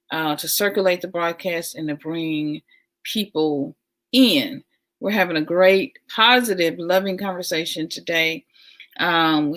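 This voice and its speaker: A woman hosts a casual livestream at an easy, unhurried pace. Her voice sits on the low side, and she talks warmly and with enthusiasm, often repeating her phrases. She adds chatty asides as she goes. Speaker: livestream host.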